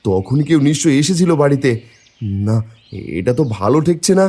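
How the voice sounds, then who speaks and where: A man voicing a shrewd, watchful village farmer in a cartoon, expressive and folksy. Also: on the high side, brisk. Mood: suspicious. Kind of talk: cartoon voice acting.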